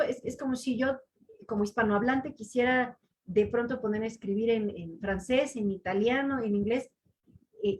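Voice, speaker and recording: A woman taking part in a conference discussion, making measured, precise clarifications. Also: on the high side, a little hiss or hum, low-quality recording. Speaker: conference participant. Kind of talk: scholarly discussion.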